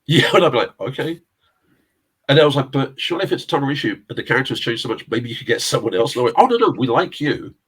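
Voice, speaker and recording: Husky man with a South London accent. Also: mid-range voice, lively intonation, fast, a bit bright. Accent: South London English. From England. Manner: rambling anecdotes, laughing often, swearing. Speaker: interview guest, casting director and actor. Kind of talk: casual chat.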